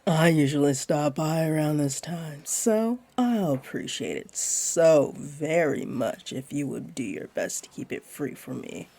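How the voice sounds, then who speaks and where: A flirty jaguar beastling woman, played by a voice actress, speaks in a dark, mellow voice with a husky, breathy edge. She takes her time, teasing in a playful, laid-back way and soothing as she goes. It is intimate roleplay audio aimed at a single listener. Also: sits low, lively intonation, unhurried, few pauses, exaggerated voice. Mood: teasing, reassuring. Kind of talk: roleplay audio acting, addressed to one listener.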